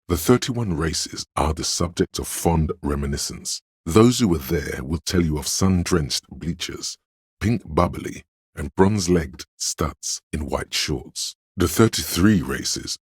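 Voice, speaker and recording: A man voicing an erudite inner voice for a game, measured and dryly condescending, like a walking encyclopedia with a smooth delivery. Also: dry recording, studio quality, full character voice. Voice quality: very deep, smooth, resonant. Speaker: game narrator. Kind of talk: scripted game narration.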